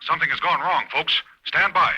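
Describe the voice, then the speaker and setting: A man playing an excited male radio announcer reporting from a helicopter in a 1940s radio drama, with brisk announcer delivery. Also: on the high side, husky, full character voice, low-quality recording.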